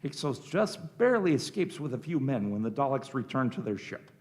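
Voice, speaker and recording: A man's voice: mid-range voice, big pitch swings, fairly quick, a little mellow. A man narrating a scripted radio drama, reading out the scenes and action.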